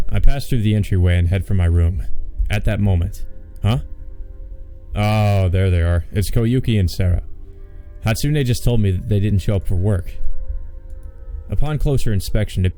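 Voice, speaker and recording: Male let's play host, deep-leaning pitch, soft dark tone, casual story reading, quiet music behind. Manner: halting, repetitive stammer in asides. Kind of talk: reading visual novel aloud with casual commentary.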